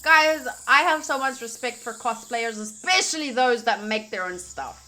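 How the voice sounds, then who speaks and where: A woman's voice: on the high side, animated, moderate pace, bright, forceful tone. A woman streamer reading a visual novel aloud and commenting on it, playfully reacting as she goes, with game music behind her. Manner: reacts playfully, acts out lines between reading.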